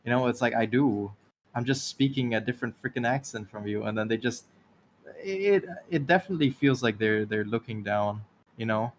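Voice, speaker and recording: A man's voice: mid-range voice, normal speed. Chill guy w a taiwanese accent rambling on stream, laughs a lot, bit of hiss behind. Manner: laughs often, rambling anecdotes. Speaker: stream co-host. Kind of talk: casual stream chat. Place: lives in Ireland.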